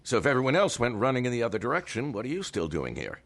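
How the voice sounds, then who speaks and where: An actor playing a stern, wronged husband, a doctor who speaks with clipped, gravelly authority in an audio drama. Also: male voice, medium pitch, wildly swooping pitch, fast talker, fairly bright tone, full character voice. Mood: cold interrogation.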